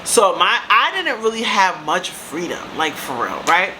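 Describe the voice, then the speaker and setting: A woman in her thirties with a deep voice, telling a casual storytime to her viewers and calling them baby and girl. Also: unhurried, rough recording. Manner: chatty, calls viewers baby and girl, lively asides. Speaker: storytime vlogger. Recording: steady air-conditioner hum behind.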